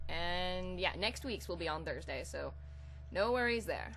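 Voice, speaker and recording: A woman's voice: lowish voice, lively intonation, measured pace, a bit bright. A woman hosting a reading of comic fan fiction, moving between casual talk and dramatic storytelling. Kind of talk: casual intro, then dramatic comic storytelling read aloud. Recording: audible background hum and hiss.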